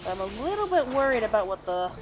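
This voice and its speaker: A woman's voice: fairly high pitch, sing-song, fast. A woman giving casual gameplay commentary, rambling and thinking aloud as she plays. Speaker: gamer, let's play host. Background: game music.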